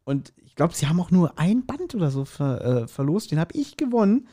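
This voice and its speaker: A man's voice: on the high side, sing-song, fairly quick, warm tone. A man co-hosting a podcast in casual chat, with dry, self-deprecating banter. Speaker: podcast co-host.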